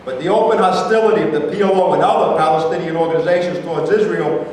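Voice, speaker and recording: A man in a staged play reading, playing a firm, distrustful Israeli who defends his country in deliberate, emphatic debate. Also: fairly high pitch, darkish tone, natural voice. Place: reverberant room at a staged reading. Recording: very echoey hall, distant mic, faint noise.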